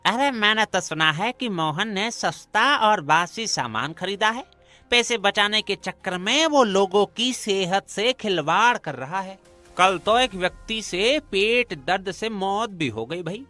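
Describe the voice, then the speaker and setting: A man's voice: high-pitched, lively intonation, unhurried, pushed and bright, soft background music, heavily acted. A man voicing a gossipy, grumbling villager in a cartoon. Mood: gossiping, alarmed.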